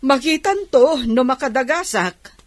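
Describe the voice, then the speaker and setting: Naapal nga ikit, napaos. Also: female voice, high voice, animated, a little slow, dry recording. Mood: snide, haughty. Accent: Ilocano. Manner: snide, suspicious. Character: haughty, envious middle-aged aunt. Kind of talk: radio drama acting.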